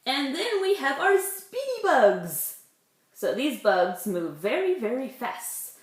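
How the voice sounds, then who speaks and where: Lady teacher reading a kids book, kinda high voice, unhurried, explains stuff simply, roomy echo. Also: sing-song. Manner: instructive, simple explanations addressed to young children. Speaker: preschool teacher. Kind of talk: children's read-aloud with chatty asides. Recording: echoey room.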